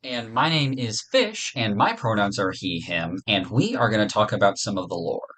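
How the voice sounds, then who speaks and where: A man with a low voice talks casually as a podcast guest. He is thoughtful and somewhat hesitant, rambling as he works through his ideas. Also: lively intonation, normal speed, a bit bright, husky. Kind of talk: casual chat.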